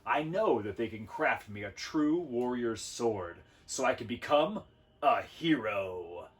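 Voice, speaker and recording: A man's voice: mid-range voice, expressive, takes it slowly. A man voicing a big, puppyish would-be warrior hero in a storybook, speaking with grandiose earnestness. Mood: proud, dreamy.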